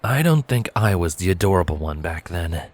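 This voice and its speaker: A man's voice: sits low, very animated, normal speed, darkish tone. A man voicing a bashful, imaginative young male pony in an audio story, sheepish and mildly defensive. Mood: musing. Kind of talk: fan fiction audio story character acting.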